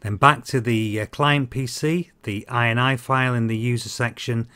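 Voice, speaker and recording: A man in his fifties explaining software in an informal tutorial, hesitant and halting, with frequent ums. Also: medium pitch, steady tone, clean tone. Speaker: presenter of a flight simulator tutorial series.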